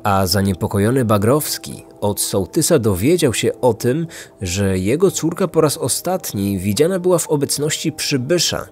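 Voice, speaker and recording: A man's voice: medium pitch, darkish tone. A man hosting and narrating a true-crime story from a script. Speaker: podcast host, narrator. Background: background music.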